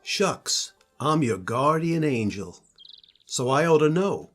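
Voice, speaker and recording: A man's voice: fairly high pitch, expressive, slow pace, a bit bright, soft background music, full character voice. A man voicing a tall, commanding pioneer guardian angel in a storybook, with a hearty, folksy, urging manner.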